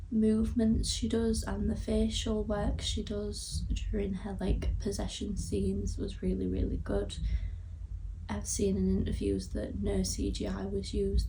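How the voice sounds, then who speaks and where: A woman's voice: medium pitch, gravelly. A young woman with a Yorkshire accent giving a casual, somewhat hesitant film review to camera, recorded at a distance from the mic. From Yorkshire, England. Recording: distant mic with faint background noise.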